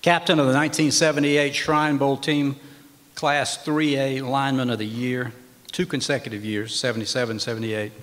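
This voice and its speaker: A man emceeing a formal hall of fame ceremony. He reads an honoree's record in measured, emphatic phrases from a podium in a banquet hall. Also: mid-range voice, level tone, a bit bright. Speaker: master of ceremonies. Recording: long reverb of a large hall.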